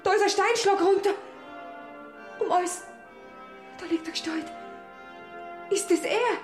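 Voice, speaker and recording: A woman's voice: extremely high pitch, a little slow, echoey room, soft background music. An actress in her twenties playing Marei, an anxious, humble young Bavarian woman in a radio play. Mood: searching. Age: 20s. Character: Marei, a timid young Bavarian woman just arrived in heaven.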